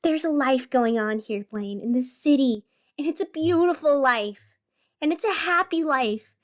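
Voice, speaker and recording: Casting: actress as female late-night radio host for audio drama, very high voice, fiery yet tender. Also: measured pace. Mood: angry, exasperated. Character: female radio host, wounded, fiery, turning soothing on air.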